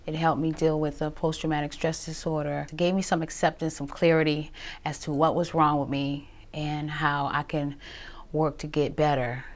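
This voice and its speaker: Low-voiced woman, candid and halting. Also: little pitch movement. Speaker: client giving a testimonial. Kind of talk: personal testimonial interview.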